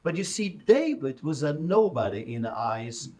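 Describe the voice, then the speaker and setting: A man's voice: fairly high pitch, very animated, normal speed. A middle-aged man with a Polish accent, Polish his first language, preaching fiery and mocking to a crowd. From Poland. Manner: forceful, mocking, rising to shouts. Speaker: street pastor.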